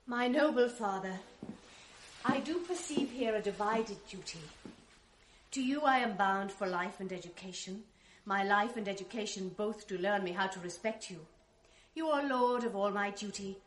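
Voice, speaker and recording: A woman's voice: on the high side, a bit bright, reverberant, slight background noise. A woman playing a steadfast, devoted young noble wife in a 1980s radio drama, speaking earnest, composed verse.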